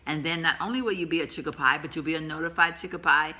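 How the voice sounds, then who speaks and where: Woman in her 50s, very bright tone, rambling chatty vlogger with a cold coming on. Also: sits low, fast. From Texas, USA. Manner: rambling, chatty, laughs often. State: coming down with a cold, sore throat. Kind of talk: casual chatty vlog. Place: sitting at home.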